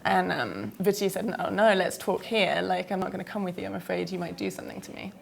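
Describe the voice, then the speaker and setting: A young woman answers an interviewer's questions, tired from a long trip and circling her words with fillers. Her voice sits low and carries a gravelly fry. She talks haltingly, piling up "like" and "um". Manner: hesitant, many fillers and "like". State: tired from travel. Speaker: filmmaker guest.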